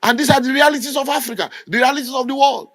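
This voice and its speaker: A man's voice: very high-pitched, rapid-fire, a bit bright, dry recording. A middle-aged Nigerian man preaching a sermon with an emphatic, scolding delivery. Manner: emphatic, scolding, mimics people in quotes. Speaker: pastor.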